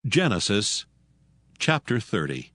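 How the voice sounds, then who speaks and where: A man narrating scripture in a measured, solemn way. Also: lowish voice, very animated, bright, forceful tone. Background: background music.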